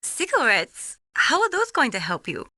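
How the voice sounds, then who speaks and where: A woman's voice: high voice, huge pitch swings, normal speed, sharp tone, no room sound, heavily acted. An actress playing a friendly, admiring young female tech specialist in a video game, speaking politely and earnestly as she explains. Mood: puzzled.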